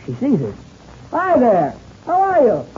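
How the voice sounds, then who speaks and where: A man's voice: very high voice, wildly swooping pitch, unhurried, dark tone, exaggerated voice. An actor playing an eager, observant, friendly teenage boy pilot in an old-time radio adventure serial. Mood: curious, eager. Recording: muffled, hissy old radio recording.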